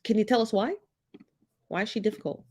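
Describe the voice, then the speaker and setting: A woman's voice: mid-range voice, brisk, darkish tone, husky. A woman with a head cold hosting a casual livestream talk show, chatty, saying y'all and laughing often as she reads viewer comments. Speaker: host of a gossip talk show.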